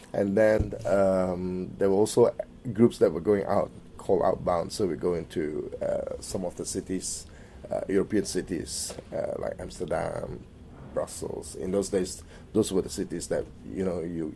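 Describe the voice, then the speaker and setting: Low gravelly man from Malaysia. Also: slight background noise. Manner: hesitant, many fillers and restarts; trails off quieter at phrase ends. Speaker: interviewee. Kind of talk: oral history interview.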